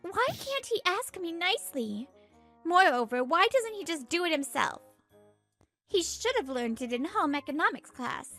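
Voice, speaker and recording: Woman acting a high-pitched, fed-up young housewife. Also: moderate pace, faint music behind, full character voice. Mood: aggrieved. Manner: expressive, complaining. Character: exasperated young pregnant housewife, narrating her marital troubles. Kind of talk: manga dub voice acting with narration.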